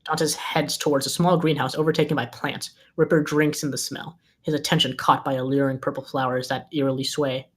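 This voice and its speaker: Screenplay narrator with medium pitch. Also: flat delivery, brisk, few pauses. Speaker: stage-directions narrator. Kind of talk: scripted screenplay narration at table read.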